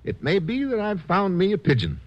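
An actor playing a wry, sardonic American adventurer in a 1950s radio drama. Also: male voice, high-pitched, huge pitch swings, a little fast. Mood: wry, sly. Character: wry American adventurer sizing up a card-game mark. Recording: dull vintage 1950s radio recording.

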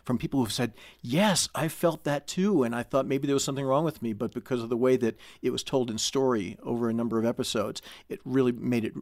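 A man speaking as a guest in a conversational interview, explaining things in a measured way. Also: medium pitch, darkish tone, dry recording, studio quality. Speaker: guest, audio drama club manager.

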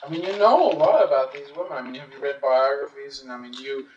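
A man asking questions in a conversational interview, halting and groping for words. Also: medium pitch. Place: hotel suite. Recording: distant, muffled, noisy interview tape.

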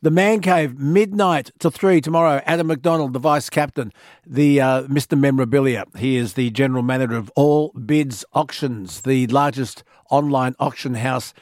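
A man's voice: medium pitch, normal speed, darkish tone, dry recording, studio quality. A man with an Australian accent hosting late-night talkback radio, encouraging his callers. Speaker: radio host.

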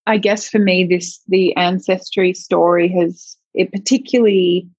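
A woman's voice: lowish voice, steady tone, unhurried, a little mellow, slightly rough, no echo. A woman speaking as a sexologist guest in an interview, reflective and searching, thinking aloud in long winding sentences. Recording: dull lo-fi dry sound.

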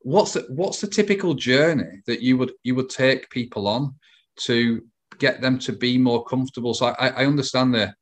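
Middle-aged male interviewer, mid-pitched and tentative. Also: normal speed. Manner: tentative, repeats words while thinking. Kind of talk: casual interview chat.

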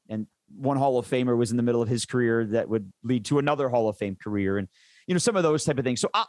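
A man's voice: mid-range voice, no echo. A man who works as a sports play-by-play announcer, chatting casually and enthusiastically in an interview, rambling into detail.

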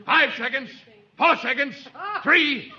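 Very high-pitched male radio announcer. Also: slow. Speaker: radio announcer, stage manager. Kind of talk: 1940s radio countdown and announcing. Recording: dull 1940s radio recording, loud music.

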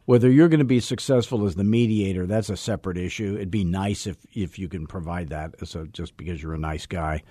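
A man's voice: low-pitched, a little fast, few pauses, dark tone, no echo. A man hosting a sales coaching call-in show, blunt and wryly teasing his caller.

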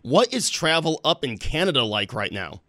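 A man co-hosting a radio talk show who speaks frankly and earnestly, with restarts. His voice is bright and forceful and sits fairly high. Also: sing-song, moderate pace. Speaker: radio host.

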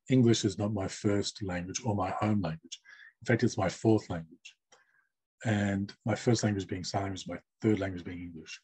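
A man interpreting for a deaf guest, speaking in the first person. He gives measured, list-like answers with short pauses. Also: on the low side, a little mellow, husky, no room sound, low-quality recording.

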